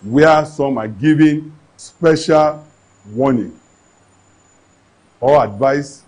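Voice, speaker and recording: A man's voice: medium pitch, drawn-out words, a little mellow. A man, an agency official answering interview questions in an earnest, official way. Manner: earnest, official, with some restarts.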